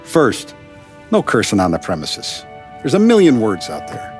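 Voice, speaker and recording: An actor playing a paternal, authoritative Italian-American mafia boss in a 1930s crime game, speaking deliberately. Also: male voice, mid-range voice, wildly swooping pitch. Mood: stern, instructive. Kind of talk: cinematic video game acting. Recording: music behind the voice.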